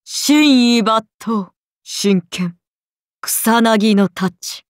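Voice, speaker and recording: A woman's voice: fairly high pitch, extremely slow, dry recording, studio quality. A woman voicing a haughty, solemn serpent goddess in an anime game, speaking in archaic, commanding words. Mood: menacing, majestic. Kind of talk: Japanese anime game voice acting.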